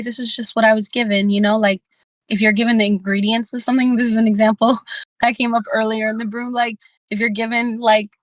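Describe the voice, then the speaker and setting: A woman in a casual group discussion, talking informally and haltingly as she thinks aloud, with slangy phrasing. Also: medium pitch, fairly bright tone, dry recording. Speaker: book club discussion participant.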